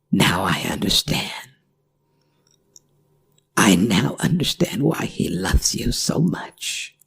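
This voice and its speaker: A performer voicing a worried, gentle older werewolf mother in an ASMR audio drama, speaking in halting, pleading phrases. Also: medium pitch, gravelly, breathy edge, heavily acted. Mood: tender, moved.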